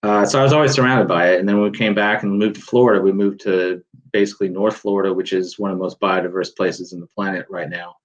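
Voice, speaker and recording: A man's voice: sits low, fairly even intonation, quick pace, muffled recording. A man speaking as a guest author on a panel, thoughtful and rambling with many restarts. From United States. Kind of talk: panel discussion.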